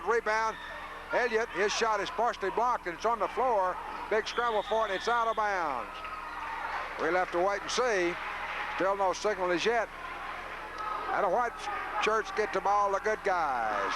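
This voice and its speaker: A man's voice: sits high, animated, normal speed. A man calls live basketball play-by-play in a folksy way for local radio, openly favouring the home team. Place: high school gym. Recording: noisy 1980s local radio broadcast.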